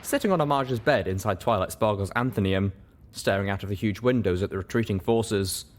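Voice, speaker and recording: A man reading first-person story narration as an audiobook, in an expressive, dramatic way. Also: fairly low pitch, a little fast, a little hiss or hum. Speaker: audiobook reader.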